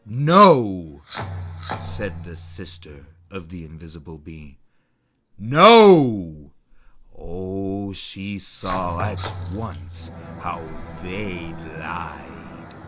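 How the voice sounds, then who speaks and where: A storyteller reading a children's folktale aloud in a theatrical, deliberate manner with dramatic pauses. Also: low-pitched, fairly bright tone. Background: music behind the voice.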